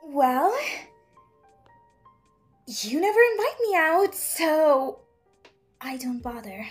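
A woman's voice: high voice, big pitch swings, unhurried. A woman voicing a polite, cheerful young female angel assistant in a comic dub, speaking expressively. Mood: playful. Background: background music.

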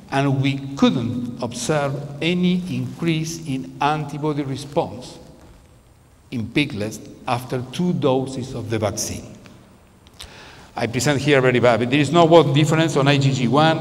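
A man in his fifties giving a conference keynote on a handheld microphone, speaking in non-native phrasing with frequent restarts. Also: mid-range voice. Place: large conference room with curtains. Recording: long hall reverb.

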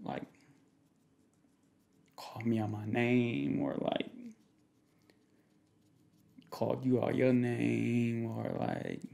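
A young man in a casual, improvised boyfriend roleplay, speaking informally in slangy, halting, rambling phrases. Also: mid-range voice, flat delivery, vocal fry, warm tone. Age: young adult. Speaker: ASMR roleplay performer playing a protective boyfriend. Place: small indoor room at home.

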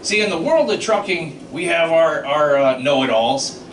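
A man's voice: a little high, animated, moderate pace, slight background noise. A middle-aged man doing a stand-up comedy set about trucking, telling stories with sound effects and asides to the crowd. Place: small comedy club stage. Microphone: handheld mic, filmed from the audience. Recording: echoey club from far off. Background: audience laughter.

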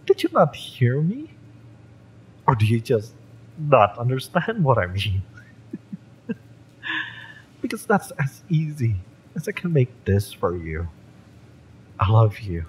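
A man playing a fiery, lovesick young mercenary bodyguard in a romance audio roleplay, speaking with halting, wounded emotion. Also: mid-range voice, wildly swooping pitch, slight background noise. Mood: passionate confession.

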